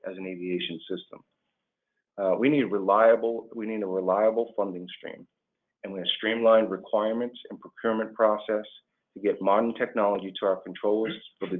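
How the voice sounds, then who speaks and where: Low-voiced man on a phone-like line. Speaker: labor union leader as webinar guest. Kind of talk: prepared remarks and answers on a policy webinar.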